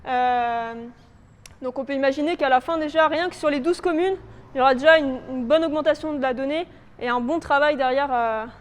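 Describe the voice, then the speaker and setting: High-voiced woman presenting in an echoing hall. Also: fairly quick. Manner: hesitant, loosely improvised explaining. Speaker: presenter for a local authority biodiversity project. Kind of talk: informal spoken presentation with slides. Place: reverberant indoor meeting room, live audience presentation.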